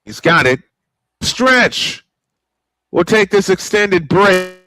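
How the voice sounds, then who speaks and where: Grandfatherly man calling baseball, bright voice. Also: high voice, slow, poor audio. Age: older. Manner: old-school broadcast style, rising excitement on big plays. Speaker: play-by-play announcer. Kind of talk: baseball play-by-play commentary.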